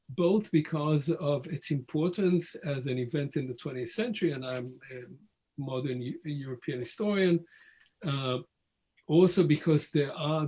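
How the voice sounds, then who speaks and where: A man in his sixties talks as a history professor in a guest conversation. His voice sits a little high for a man. He speaks in a measured, reflective way and often restarts his phrases mid-thought. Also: darkish tone. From Israel. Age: 60s. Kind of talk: conversational academic talk.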